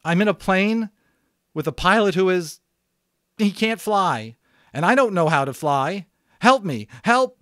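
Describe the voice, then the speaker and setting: A man voicing a panicked teenage boy stranded in a small plane, pleading and shouting frantically. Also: sits high, animated, measured pace, long pauses, no echo, studio quality, voice pushed up. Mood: desperate.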